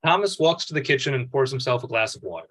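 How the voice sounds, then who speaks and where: Animated man reading stage directions. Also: mid-range voice, fast. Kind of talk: scripted narration of stage directions.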